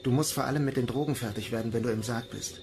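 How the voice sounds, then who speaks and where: A man's voice: mid-range voice, fast, close to an everyday voice. An actor playing a weary, cautious man on a space station in a science fiction radio drama. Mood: grave, urgent. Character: weary, cautious male station confidant, Kirin. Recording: radio play with music bed.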